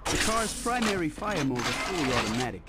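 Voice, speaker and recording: A confident military instructor walks a recruit through video game training. His delivery is brisk and folksy, a little high for a man, with clear game noise around it. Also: sing-song. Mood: gung-ho, instructional. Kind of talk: scripted game tutorial voice acting. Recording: noisy game audio with ambience.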